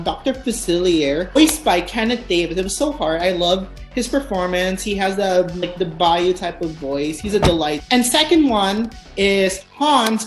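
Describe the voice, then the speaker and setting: A woman's voice: fairly low pitch, reverberant. A woman hosting a casual video chat with a guest, bubbly and theatrical. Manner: bubbly, theatrical, apologetic about pronunciations. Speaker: host.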